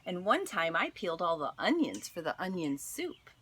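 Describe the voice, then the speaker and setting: A woman teacher reading a children's story aloud to students, expressive and deliberate, with dramatic pauses. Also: on the low side, a little hiss or hum.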